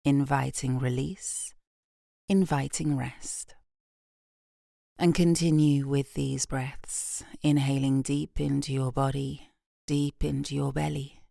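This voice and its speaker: A British woman guiding a yoga nidra meditation in a soft, gentle, unhurried voice with long pauses, breathy at phrase ends. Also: a bass voice, level tone, no echo, studio quality. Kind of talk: guided meditation, slow and soothing.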